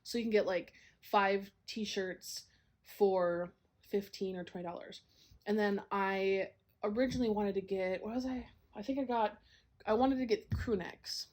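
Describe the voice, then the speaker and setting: A young woman hosting a casual solo podcast while she does a jigsaw puzzle, a little congested and clearing her throat, rambling with lots of "like" and "um" and small laughs, recorded at home. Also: medium pitch, fairly even intonation, a little slow, darkish tone. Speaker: podcast host. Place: a home, downstairs.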